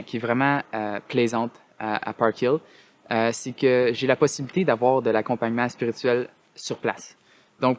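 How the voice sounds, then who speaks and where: A young man from northern Ontario with a Canadian French accent, giving an earnest personal testimonial as a student resident, often pausing on fillers and restarting his phrases. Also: medium pitch. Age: young adult. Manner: earnest, hesitant with frequent "euh" fillers and restarts.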